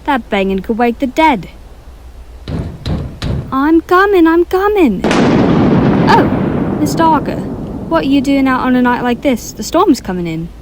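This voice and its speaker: An actress playing a feisty, superstitious household maid in a radio drama, colloquial and exclamatory. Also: female voice, sits high, big pitch swings, a little slow, long pauses, background noise. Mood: flustered.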